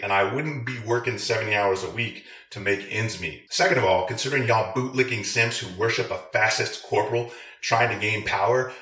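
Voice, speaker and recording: Low-voiced man ranting with crude sarcasm, roomy sound. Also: sharp tone. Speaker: video creator, military veteran. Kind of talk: profane rant to viewers.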